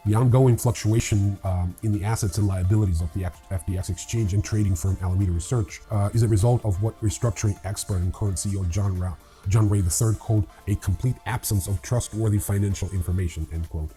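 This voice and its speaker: Male news host, very low and heavily mellow voice, casual commentary with dry sarcasm. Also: steady tone, fast, faint music behind. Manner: wry, sarcastic asides. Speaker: crypto news show host.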